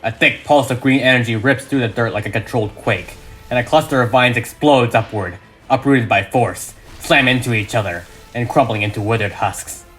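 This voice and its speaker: A man's voice: medium pitch, normal speed, a bit bright, faint music behind. A man reading a fan audio drama script as a narrator, with emphatic, theatrical delivery.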